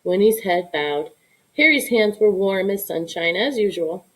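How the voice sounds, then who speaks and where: Woman, storybook reader, expressive read-aloud style, mid-range voice. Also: medium pitch, measured pace, low-quality recording.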